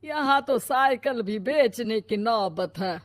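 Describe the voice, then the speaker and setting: Woman acting a slow-talking, grumbling middle-aged cartoon man. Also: a little high, expressive, dry recording, faint music behind. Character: grumbling middle-aged father of daughters or his neighbour. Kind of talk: cartoon moral-story voice acting.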